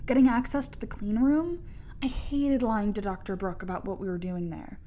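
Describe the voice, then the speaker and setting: A woman's voice: mid-range voice, normal speed, dark tone, a little hiss or hum, natural voice. A woman playing a meek, eager research assistant in an audio drama, earnest, hesitant and apologetic.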